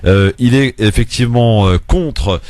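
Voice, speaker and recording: A man's voice: mid-range voice, unhurried, a little mellow, no echo. A male radio host moderating a debate, firm about turn-taking and hesitant with many filler sounds as he explains.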